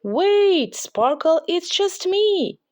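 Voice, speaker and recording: A woman voicing an excitable, playful young girl in a children's storybook, exclaiming and coaxing as if talking to her pet. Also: extremely high pitch, very slow, no echo, clean studio sound, pitched above the performer's own voice, full character voice. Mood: excited, urgent. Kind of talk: children's storybook read-aloud character voice.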